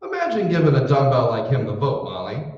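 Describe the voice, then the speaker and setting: A man's voice: medium pitch, huge pitch swings, a little fast, over a noisy crowd, very noisy. A man playing a blustery, folksy, self-important husband in a 1940s radio comedy. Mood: indignant, folksy. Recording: muffled, echoey room, far from mic.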